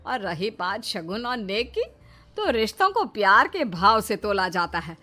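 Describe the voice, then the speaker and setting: A woman's voice: mid-range voice, sing-song, measured pace, bright, forceful tone, faint music behind. A woman voicing a possessive, bossy middle-aged mother-in-law in a cartoon, emphatic and melodramatic. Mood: bossy, haughty. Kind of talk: Hindi moral-story cartoon dubbing.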